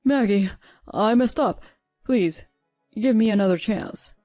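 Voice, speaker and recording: A woman's voice: fairly high pitch, moderate pace, mellow, no echo, soft background music, lightly acted. Brief: weak-willed young husband, whiny and pleading, in a storybook, read by a woman. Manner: whining, pleading.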